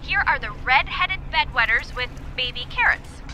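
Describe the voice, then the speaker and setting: A perky American teenage girl hosting a high school radio show in a video game. Her voice is high and very bright, breezy and rambling as she announces casually. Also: expressive, normal speed, slight background noise, exaggerated voice. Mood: breezy, chatty. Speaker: student radio DJ.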